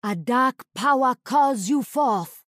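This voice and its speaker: A woman's voice: high-pitched, lively intonation, takes it slowly, a bit bright, full character voice. An actress voicing a sinister, commanding witch in a video game, theatrical and imperious. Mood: menacing, triumphant. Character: sinister, commanding witch casting dark spells. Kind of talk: video game character voice lines.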